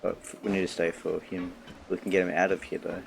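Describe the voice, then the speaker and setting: Very deep, monotone male streamer. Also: fast talker, vocal fry, background noise. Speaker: game streamer. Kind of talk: casual livestream commentary, talking back to game dialogue. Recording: game music behind the voice.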